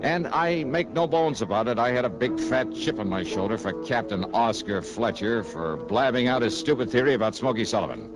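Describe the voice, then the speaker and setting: A man's voice: mid-range voice, animated, fairly quick, few pauses, over loud music, natural voice, rough recording. An actor playing a tough, stubborn male insurance investigator in 1950s radio drama, wisecracking and emphatic. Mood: wry narration. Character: tough, stubborn, hot-headed male insurance investigator narrating his case.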